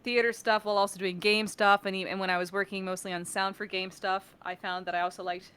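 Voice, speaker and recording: A woman chatting casually as a guest on a stream, animated and self-mocking, often acting out little quotes. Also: mid-range voice, quick pace, clean tone. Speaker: guest, voice artist and sound designer. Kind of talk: casual interview chat on a live stream.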